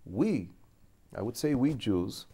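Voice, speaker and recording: A man in his fifties who grew up in Israel, a school director speaking as an interview guest, thinking aloud with halting restarts. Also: medium pitch, big pitch swings, dark tone, breathy edge. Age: 50s. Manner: thoughtful, halting, restarts while reasoning. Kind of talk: studio interview.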